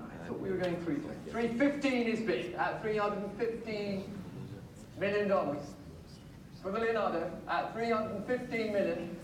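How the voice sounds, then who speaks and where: A man auctioneer calling bids live in a sale room, brisk and repetitive, with light jokes between bids. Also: high voice, background noise. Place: large auction sale room, heard from the audience. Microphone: far phone camera in the crowd. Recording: distant echoey sale room from a phone in the crowd.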